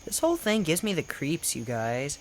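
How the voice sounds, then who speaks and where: A woman's voice: low-pitched, huge pitch swings, moderate pace, slight background noise. A young female-sounding performer playing an anxious, kindhearted, reluctant kid in an amateur radio drama. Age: child.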